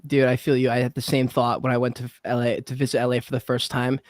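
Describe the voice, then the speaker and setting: A man's voice: mid-range voice, monotone, quick pace, no room sound, clean studio sound. A young man hosting a casual interview podcast, laid-back and swearing casually. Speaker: podcast host.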